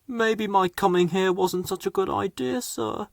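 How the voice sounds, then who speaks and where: A man's voice: sits high, a little slow, gravelly, no echo, clean studio sound, pitched above the performer's own voice. A man voicing an earnest, sensitive male crane engine who is new, in a children's storybook. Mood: sad, unsure.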